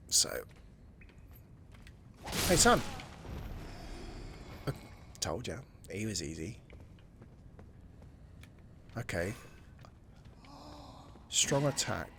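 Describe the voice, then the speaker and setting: A man giving casual, excitable commentary while playing a video game and thinking aloud. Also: lowish voice, very slow, long pauses, a little hiss or hum. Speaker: gameplay streamer. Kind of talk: casual let's-play commentary.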